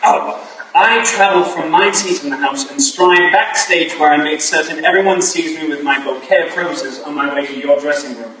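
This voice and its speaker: A man as an obliging gentleman, echoey. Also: on the high side, moderate pace, lightly acted. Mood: obliging, showy. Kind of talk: theatrical staged reading. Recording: echoey room from far off, audience noise.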